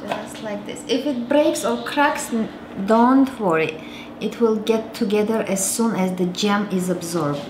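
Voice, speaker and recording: A woman's voice: mid-range voice, a little slow, noisy background. A woman in her thirties with an Armenian accent hosts a home cooking show, chatting and teasing her child as she demonstrates a recipe. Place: large home kitchen. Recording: roomy kitchen with dish and cutlery clatter. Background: clinking dishes and utensils.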